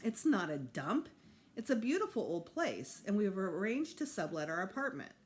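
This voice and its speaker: A woman's voice: mid-range voice, very animated, normal speed, slight background noise, close to an everyday voice. A woman voicing a reasonable, conciliatory father in a storybook, explaining things persuasively. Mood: reasoning.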